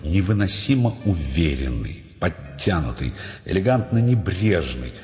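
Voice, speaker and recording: A grave, observant male narrator tells a literary story in an audio drama. His voice sits very low, wrapped in long reverb with some music underneath. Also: very animated, unhurried, a little mellow. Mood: grave, measured. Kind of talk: scripted literary narration in radio play. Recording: dull sound in a long reverb.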